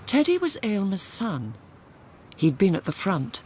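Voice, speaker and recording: An Englishwoman narrating a radio drama in a dry, measured, literary storytelling manner. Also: medium pitch, huge pitch swings, darkish tone, a little hiss or hum.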